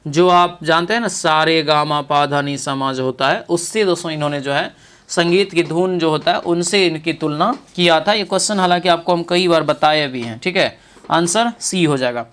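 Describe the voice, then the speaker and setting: A man's voice: a little high, moderate pace, fairly bright tone, clear, resonant tone. A man teaching an exam practice lesson, reading each question aloud and then confirming the answer.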